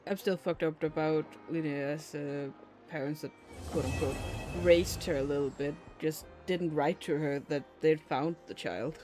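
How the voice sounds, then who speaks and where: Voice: mid-range voice, fairly even intonation, measured pace, darkish tone. Blunt, rambling game streamer, music behind. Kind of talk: casual gaming stream commentary.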